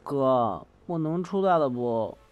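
A woman playing a cheeky, excitable younger sibling in an audio drama, teasing, chatty and exclamatory. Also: a bass voice, slow, narrow, tinny audio, exaggerated voice. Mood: nosy, teasing.

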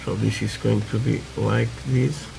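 A man from Portugal with a Portuguese accent, chatting haltingly through a hobby tutorial with many fillers, while building at a workbench. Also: on the low side, fairly even intonation, creaky, over music, poor audio. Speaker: scale model builder. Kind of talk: casual hobby tutorial talk. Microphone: camera over work desk, hands only.

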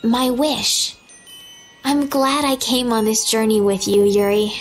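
A woman playing a gentle, earnest young princess in a fantasy game, speaking politely and a little hesitantly. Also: a little high, slow pace, a little hiss or hum. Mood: reflective, earnest. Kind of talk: animated game voice acting.

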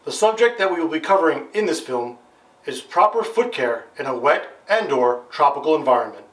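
A man's voice: fairly high pitch, expressive, a little fast, fairly bright tone, rough recording. An American man in his thirties playing an authoritative army captain, giving formal, scripted instruction in a retro military training film. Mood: instructive, assured. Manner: formal, didactic, stilted. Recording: music under the voice. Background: background guitar music.

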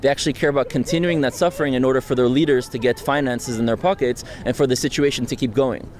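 A young man from France speaks fast and urgently in an outdoor street interview, pressing his points with a repeated "right?". His voice sits at a middle pitch and is picked up close on a handheld mic, with traffic faintly around. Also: fairly even intonation, a little mellow. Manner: fast, insistent, argumentative, frequent tag "right?". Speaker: interviewee, student activist. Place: outdoors on a city campus street. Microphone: handheld mic held close by interviewer.

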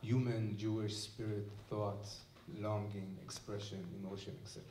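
Israeli man, onstage lecturer, mellow tone, picked up from far off in an echoey room, halting and reflective delivery. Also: lowish voice, flat delivery, a little hiss or hum. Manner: thoughtful, searching for words with many restarts. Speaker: musician and lecturer. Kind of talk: onstage talk to an audience. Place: museum event space with audience. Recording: echoey room heard from a distance.

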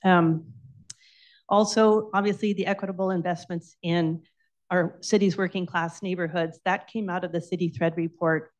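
A woman's voice: fairly low pitch, steady tone, normal speed. A woman speaking as a public commenter at a city meeting. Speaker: public commenter, bicycle coalition president. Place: indoor public meeting room.

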